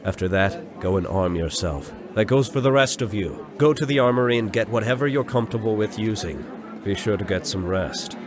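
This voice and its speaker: A man's voice: on the low side, fairly quick, natural voice. Man acting as stern army commander amid crowd. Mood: brisk command. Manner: curt, authoritative orders. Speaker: voice actor. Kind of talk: fantasy audio drama acting.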